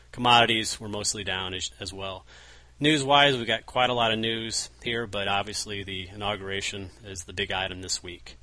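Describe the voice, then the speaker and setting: Bright-toned man talking market charts. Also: on the low side. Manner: casual, stumbling restarts. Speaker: newsletter market analyst co-host. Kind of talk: market commentary over charts.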